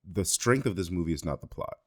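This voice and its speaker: A man's voice: low voice, animated, fairly quick. A man co-hosting a podcast, discussing films in a casual, conversational style. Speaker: podcast co-host.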